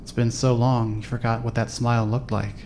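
A man's voice: medium pitch, little pitch movement, brisk, a little mellow, a little hiss or hum, close to an everyday voice. A man reading scripted second-person narration for a fan-made audio drama.

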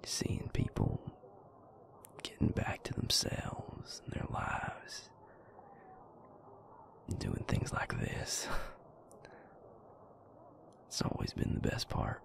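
A man playing a calm, gentle, wry vampire in an intimate audio roleplay, hushed and gravelly, measured and understated. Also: in a whisper, lightly acted.

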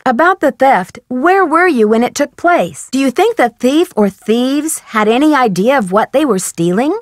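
A woman's voice: high-pitched, dry recording, studio quality. A woman playing a polite, inquisitive, earnest young female amateur detective in scripted adventure game dialogue, speaking articulately. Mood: inquisitive, courteous. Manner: polite, articulate, eager questioning.

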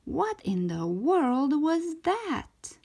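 A woman reading a storybook aloud for young children in an expressive, deliberate way, stretching out key words. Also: high-pitched. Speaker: children's storybook reader, show host.

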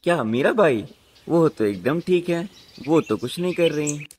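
A man's voice: fairly high pitch, animated, a little slow, a little mellow, a little hiss or hum. A man playing a courteous, protective royal husband who is torn and troubled, in a radio drama. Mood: calm. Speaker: actor in a student radio drama.

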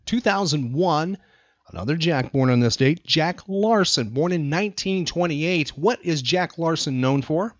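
A man's voice: fairly high pitch, lively intonation, a little slow, no echo. An American man hosting a casual trivia chat, asking questions and explaining facts in short phrases. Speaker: podcast host and trivia quizmaster. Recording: slightly dull, lo-fi sound.

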